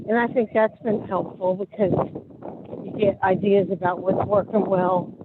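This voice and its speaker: A woman's voice: medium pitch, fairly even intonation, moderate pace, heavy background noise. A woman taking part in an online discussion group, talking in a thoughtful, conversational way. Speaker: group participant. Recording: tinny phone-like line.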